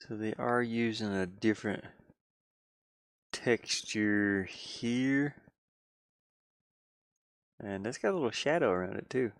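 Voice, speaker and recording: A man chatting casually like a streamer, thinking aloud in a halting, rambling way. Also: on the low side, no room sound. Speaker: streamer and hobby game designer. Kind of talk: casual rambling livestream chat.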